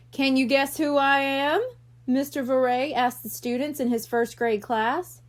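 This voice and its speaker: High-pitched, expressive woman reading a story. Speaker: audiobook reader. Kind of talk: scripted children's story narration.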